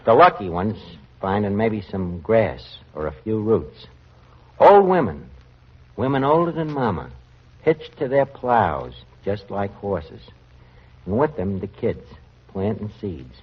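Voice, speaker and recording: A man's voice: on the low side, big pitch swings, takes it slowly, husky, exaggerated voice. Earnest streetwise tavern man, muffled radio. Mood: somber reading. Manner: colloquial, streetwise, uneducated grammar. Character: earnest working-class tavern manager with mangled grammar. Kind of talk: 1940s radio comedy-drama acting. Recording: muffled vintage radio recording.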